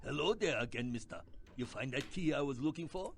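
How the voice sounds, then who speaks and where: A man playing a shady older Chinese gangster in a video game, crude and scheming, speaking broken English with a Chinese accent in a gravelly voice. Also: medium pitch, animated, fairly quick, lightly acted. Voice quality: gravelly, husky.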